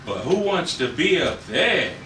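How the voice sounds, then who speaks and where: A playful, leering male sidekick put on by a man at a script read, high-voiced and very animated, drawing out his words. It is recorded from a distance in a roomy, noisy space. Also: unhurried. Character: leering, hedonistic sidekick man eager for fun. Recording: noisy echoey room, distant mic.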